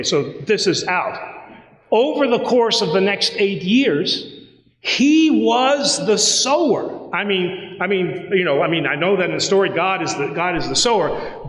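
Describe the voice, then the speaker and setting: A man preaching a sermon as a priest, telling a personal story in a lively, conversational way and acting out the dialogue. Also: sits high. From United States. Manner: animated, conversational, acts out dialogue. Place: church sanctuary. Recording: roomy church with long reverb.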